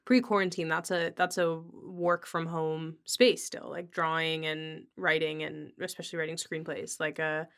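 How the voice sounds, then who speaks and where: A woman's voice: sits low, flat delivery, normal speed, hardly any pauses, a bit bright, clear, resonant tone. A woman podcast host in a casual, conversational interview.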